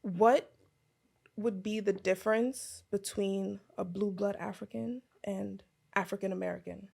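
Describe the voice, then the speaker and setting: A woman's voice: mid-range voice, steady tone, a little slow, creaky, a little mellow, husky. A young woman co-hosting a podcast, teasing and asking questions in casual chat. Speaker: podcast co-host.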